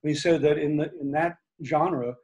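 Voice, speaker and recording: An older man whose first language is Hungarian, chatting on a video call in a reflective, halting way with many fillers. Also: on the high side, fairly even intonation, darkish tone. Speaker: discussion group member. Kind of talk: casual video call chat. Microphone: video call laptop mic.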